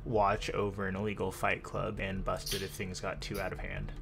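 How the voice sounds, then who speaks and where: A man narrating a tabletop roleplay game as game master, descriptive and measured, with long pauses. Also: low voice, monotone, vocal fry, background noise. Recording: music bed under the voice. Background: music.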